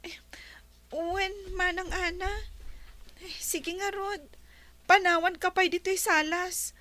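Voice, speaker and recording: A woman's voice: extremely high pitch, fairly even intonation, slow pace, sharp tone, dry recording, full character voice. An actress playing a meek, kind young orphaned woman in a radio drama, polite, hesitant and plaintive. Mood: subdued, sad.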